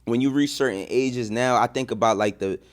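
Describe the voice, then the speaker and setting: Fast-talking New York man telling stories. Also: mid-range voice. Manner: animated storytelling, repeats "you feel me". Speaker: podcast guest. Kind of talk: casual chat.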